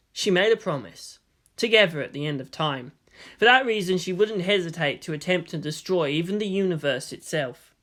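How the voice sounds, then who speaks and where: Highly expressive story narrator. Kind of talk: expressive fan fiction reading.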